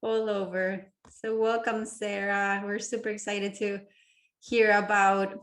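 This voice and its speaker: A woman's voice: medium pitch, level tone, takes it slowly, fairly bright tone, echoey room. A woman hosting an interview, enthusiastic and laughing often; her first language is Spanish. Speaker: interview host. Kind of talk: casual interview chat.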